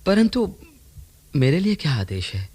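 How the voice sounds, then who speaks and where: A husky, deferential disciple. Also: male voice, fairly high pitch, big pitch swings, a little slow, darkish tone. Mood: earnest, deferential. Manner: respectful, earnest. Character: deferential male disciple and escort of old poet. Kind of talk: theatrical radio drama in formal Sanskritized Hindi.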